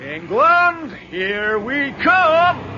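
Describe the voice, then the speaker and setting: A bold, breezy pilot calls out in a very high-pitched male voice. Engine noise roars around him in a dull radio drama recording. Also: very animated, very slow, full character voice. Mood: cheerful. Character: breezy, bold pilot shouting in an open aeroplane. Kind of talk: BBC radio drama acting.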